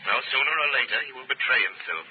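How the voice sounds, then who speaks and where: A man playing an unseen avenger in a 1930s radio drama, speaking in a solemn, deliberate, commanding and menacing way. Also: medium pitch, animated, natural voice. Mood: instructing. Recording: tinny, narrow-band noisy 1930s radio recording.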